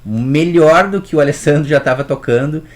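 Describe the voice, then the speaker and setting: A man's voice: on the high side, normal speed. Chatty man telling music history. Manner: chatty, addresses viewer directly with rhetorical questions. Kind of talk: casual storytelling lesson.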